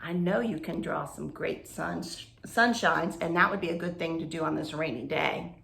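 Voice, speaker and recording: A woman reading a picture book aloud to young children in an expressive, drawn-out way, with chatty asides and questions to the listeners. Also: deep voice, creaky, a little hiss or hum. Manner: animated, drawn-out phrasing, asks the listeners questions.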